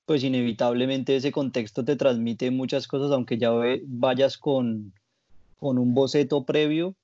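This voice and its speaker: A man with a Colombian accent answering as a guest in a casual interview, reflective and softening what he says. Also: mid-range voice, fairly even intonation, unhurried, dry recording, muffled recording. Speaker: interview guest, graphic designer and muralist.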